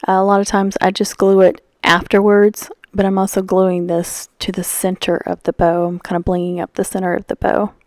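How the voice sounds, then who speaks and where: A woman's voice: medium pitch, monotone, normal speed, few pauses, a little mellow, dry recording, studio quality. A woman with a scratchy voice talking casually through a craft tutorial, rambling and chatty with lots of fillers. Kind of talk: casual voice-over while crafting.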